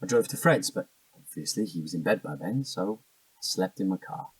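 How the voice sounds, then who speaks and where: Husky, defensive cheating husband. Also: male voice, sits low, expressive, normal speed, no echo, recorded from a distance, heavily acted, poor audio. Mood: cornered, irritated. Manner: defensive, protesting, stammering when cornered. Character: defensive, evasive cheating husband under questioning. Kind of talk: naturalistic audio drama dialogue.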